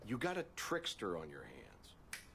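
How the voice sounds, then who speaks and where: Gruff exasperated older mentor, blunt, highly varied intonation, TV drama, faint noise. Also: male voice, wildly swooping pitch, a little slow, fairly bright tone, heavily acted.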